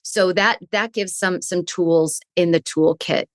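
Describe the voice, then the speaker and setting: A woman with a lowish voice talking through her ideas as an interview guest. She explains with care and circles back over her phrases as she goes. The recording is dry and close. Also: fairly even intonation, a little slow, dry recording. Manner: thoughtful, restarts phrases while explaining. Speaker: resilience coach, guest. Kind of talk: conversational interview answers.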